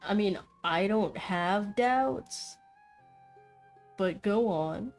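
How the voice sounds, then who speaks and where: A woman's voice: mid-range voice, lively intonation, drawn-out words, darkish tone, faint music behind. A woman giving casual gameplay commentary as a streamer, with an offhand, joking, wry manner. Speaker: video game streamer.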